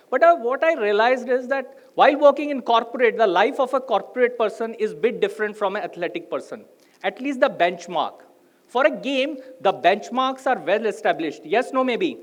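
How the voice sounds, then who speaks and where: A middle-aged man from India, a native Hindi speaker with an Indian English accent, giving a live motivational talk and putting rhetorical questions to the audience. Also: high-pitched.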